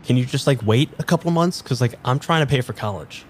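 A man's voice: medium pitch, expressive, fast, a little hiss or hum, natural voice. Cynical, foul-mouthed young diner server played by a man. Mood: weary, sardonic. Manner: blunt, exasperated, sweary. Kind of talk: improvised audio drama dialogue.

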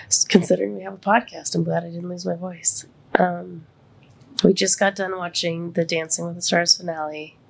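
Congested, gravelly American woman podcaster. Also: level tone, normal speed, low-quality recording. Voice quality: slightly congested, deeper than usual. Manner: candid, conversational. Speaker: podcast host. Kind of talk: casual, confessional podcast chat.

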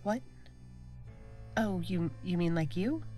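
A woman playing a lonely, anxious space traveller in an audio drama, speaking in a halting, uncertain, subdued way. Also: lowish voice, animated, warm tone, faint music behind. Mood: subdued, hesitant. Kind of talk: naturalistic audio drama acting.

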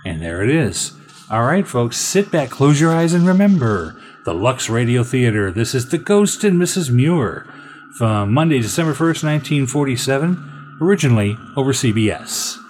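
A man's voice: medium pitch, sing-song, moderate pace, a little mellow, slight background noise. A man co-hosting a radio show, introducing a vintage programme in a presenter's style. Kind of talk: scripted old-time radio show introduction.

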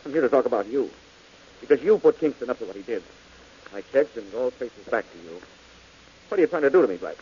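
A man's voice: mid-range voice, animated, quick pace, pauses often, a little mellow, lightly acted. A man playing a bitter, defensive murder suspect who turns to threats in an old radio drama. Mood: resentful. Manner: defensive, rising to angry threats. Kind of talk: 1940s radio acting. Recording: dull old radio recording with hiss.

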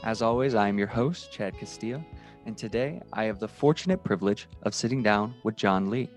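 Low-voiced male podcast host. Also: brisk, faint music behind. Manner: warm encouraging interjections, long rambling questions. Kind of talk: interview hosting.

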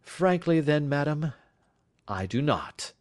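A man doing a measured gentleman detective. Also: a little high, expressive, pitched above the performer's own voice. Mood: grave. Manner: measured, precise, explanatory. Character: calm, reserved gentleman detective, middle-aged.

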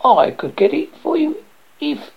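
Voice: high-pitched, sing-song, a little slow, gravelly, dull audio, pitched above the performer's own voice, heavily acted. A storyteller voicing a timid, lonely little male frog with a scratchy, pleading voice. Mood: timid, eager.

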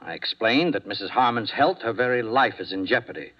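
An earnest, anxious male doctor played by a radio actor in a 1950s drama. He pleads insistently and hesitantly in a middle-pitched voice, on a muffled old broadcast recording. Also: lively intonation, brisk, lightly acted. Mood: earnest, grave.

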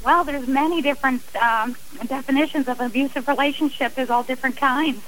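A woman in her forties from New York City speaks as a radio interview guest. Her voice sits fairly high, and she explains things in a conversational way. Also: fairly even intonation, brisk, fairly bright tone, a little hiss or hum, rough recording. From New York City, United States. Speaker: interview guest, author and abuse survivor.

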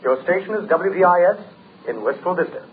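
Brisk radio station announcer. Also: male voice, high-pitched, expressive, a little hiss or hum. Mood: formal. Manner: formal announcing. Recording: tinny old radio, slightly distant.

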